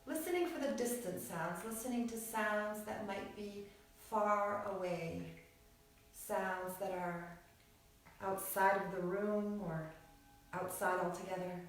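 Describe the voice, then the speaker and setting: A woman teaching yoga nidra, guiding relaxation in a soothing, unhurried manner with short phrases and long pauses. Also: medium pitch. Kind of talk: guided relaxation meditation. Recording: very echoey room, distant mic, music behind. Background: music.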